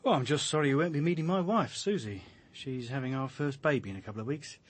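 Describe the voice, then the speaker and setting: An actor with a British accent playing a down-to-earth, blunt police detective in a radio drama, colloquial and matter-of-fact. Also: male voice, medium pitch, quick pace, husky, lightly acted. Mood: chatty.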